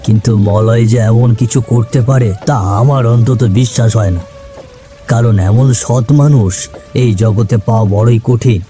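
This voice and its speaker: A man's voice: mid-range voice, unhurried, dark tone, lightly acted. Man playing a folksy village uncle over music. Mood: puzzled. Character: kindly older village ox-cart driver, a chatty uncle.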